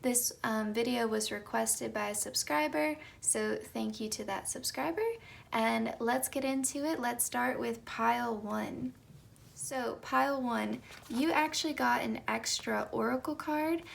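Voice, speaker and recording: A young woman doing a casual tarot reading. She has just woken up and her voice is slightly raspy, and she talks in a chatty way with soft whispered asides. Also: a little high, a little slow. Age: young adult. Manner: chatty, light laughs, soft whispered card calls. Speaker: tarot reader.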